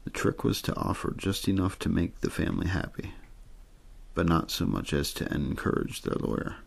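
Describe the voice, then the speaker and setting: A man softly reading a story in ASMR style, unhurried and untheatrical, with a husky, gravelly voice. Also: low voice, sing-song. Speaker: host and reader of an audio ASMR show.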